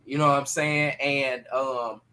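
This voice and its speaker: Bright-voiced man, animated casual podcast talk. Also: mid-range voice, a little slow. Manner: animated, slangy, profane. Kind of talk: casual panel discussion.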